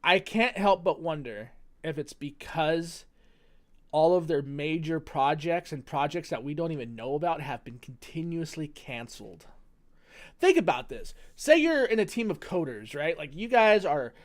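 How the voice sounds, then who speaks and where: A young man talking casually into a phone in a home vlog, chatty and excitable, rambling and restarting as he goes. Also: on the high side, moderate pace. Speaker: gaming vlogger. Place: home room with laundry machines running next door. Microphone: phone mic.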